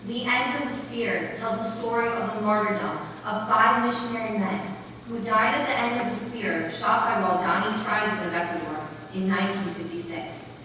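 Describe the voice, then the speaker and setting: A woman's voice: medium pitch, normal speed, sharp tone, lightly acted. A woman playing an earnest missionary narrator in a declamatory, scripted readers' theater recital. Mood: solemn. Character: narrator and missionary pilot's devoted sister, earnest. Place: large indoor recital hall, distant microphone. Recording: echoey hall from far off, faint noise.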